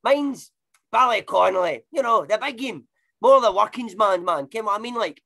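A man's voice: high voice, normal speed, creaky, pushed and bright, full character voice. A man with a Glaswegian accent playing a blunt, slangy working-class actor who swears through his banter in a comedy sketch. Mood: exasperated banter. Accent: Glaswegian Scottish. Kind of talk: comedy audio sketch acting.

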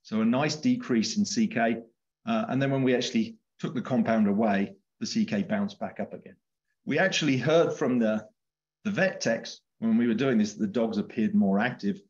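A man's voice: medium pitch, darkish tone. Middle-aged guy on a webcam call, muffled lo-fi sound, talks you through the data. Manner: explanatory, with fillers and restarts. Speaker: chief scientific officer. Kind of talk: webinar presentation. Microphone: webcam on a video call. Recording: dull lo-fi call audio.

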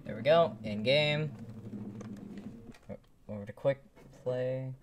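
A young man streams a video game from home, reacting live in casual slang. He is excitable and swears freely. His words come out slowly and drawn out. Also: mid-range voice, noisy background. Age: young adult. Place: indoors, small home room.

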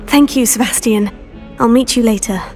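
A woman plays an eager teenage witch in a fantasy game. Her voice is a little high, and she sounds polite, plucky and sure of herself. Soft music sits behind her. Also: moderate pace, close to an everyday voice. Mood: self-assured. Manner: polite, self-assured. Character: plucky, eager teenage female wizard student. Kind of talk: video game cutscene acting.

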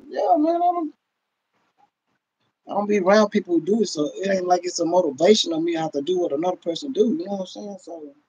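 A man's voice: high-pitched, a little mellow, husky, lo-fi sound. A middle-aged American man on a casual livestream, talking bluntly and profanely with emphatic repetition, recorded on a phone at arm's length in a room at home. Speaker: livestream host. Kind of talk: casual livestream rant to viewers. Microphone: phone or webcam at arm's length.

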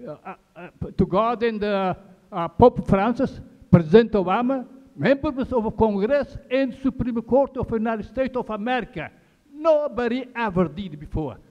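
An older man with a Portuguese accent speaks earnestly and haltingly into a handheld mic, restarting words as he goes. His fairly high voice sounds dull and carries a long hall reverb. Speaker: audience member. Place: large wood-paneled hall, indoors.

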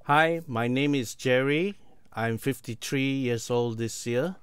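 A man in his fifties from Singapore giving a measured, halting self-introduction as a recorded sample. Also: medium pitch, clear, resonant tone, dry recording. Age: 50s. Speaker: demo speaker.

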